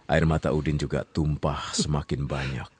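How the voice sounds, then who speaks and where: A man narrating a radio story in a calm, measured way, with casual asides to the listener. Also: extremely low pitch.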